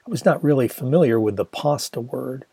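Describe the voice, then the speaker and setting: A man's voice: mid-range voice, brisk, vocal fry, warm tone, breathy edge, dry recording. A man in his sixties from Michigan, reading his own memoir aloud in a wry, conversational storytelling manner. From Michigan, USA. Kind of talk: audiobook narration.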